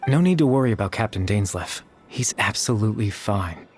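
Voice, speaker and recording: An actor voicing a wistful young man in a video game, soft-spoken, reflective and measured. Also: on the low side, lively intonation, slightly rough. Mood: calm, explanatory. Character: wistful young man, imagined grown form of a lost child.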